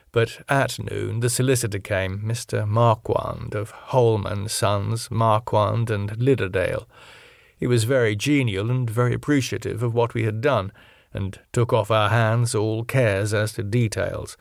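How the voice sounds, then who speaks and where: A man reading a classic novel as an audiobook narrator, in a measured, solemn, literary delivery. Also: lowish voice, vocal fry, dry recording, clean studio sound.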